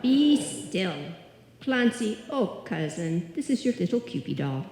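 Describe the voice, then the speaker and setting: A woman's voice: mid-range voice, sing-song, measured pace, crowd noise. A woman playing a bossy older Irish widow and landlady in live old-time radio comedy, with a dramatic, crooning delivery. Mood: summoning, crooning. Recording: echoey hall, live stage performance.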